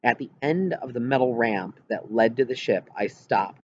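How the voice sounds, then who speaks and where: A man reading a story aloud as an audiobook narrator, with an expressive scripted delivery. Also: medium pitch, moderate pace, lo-fi sound, a little hiss or hum.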